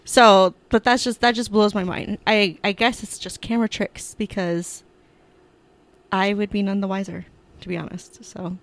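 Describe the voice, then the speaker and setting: A woman's voice: medium pitch, fairly even intonation, normal speed. A woman co-hosting a podcast in casual chat, upbeat and teasing, with frequent restarts. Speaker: podcast co-host.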